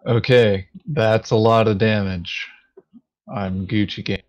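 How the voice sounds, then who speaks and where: A man playing a board game, talking casually in terse, deadpan remarks. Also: on the low side, slow pace. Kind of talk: casual gaming chat. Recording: dull, lo-fi voice chat microphone.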